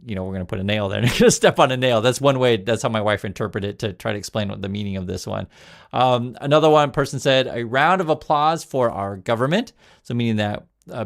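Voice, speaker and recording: A talkative man hosting his own commentary show, his mid-pitched voice carrying a gravelly fry. He chats loosely, stumbles and restarts words, and reads quotes aloud as he goes. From United States. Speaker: news commentary host. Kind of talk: casual spoken commentary.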